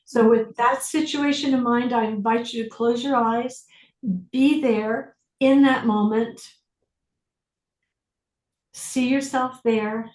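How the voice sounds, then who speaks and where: A woman leads an online group through a reflective exercise, her voice sitting fairly high. She prompts slowly and deliberately. The sound carries a noticeable room echo. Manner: calm, slow, deliberate prompting. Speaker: facilitator. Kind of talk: guided inquiry on a group video call.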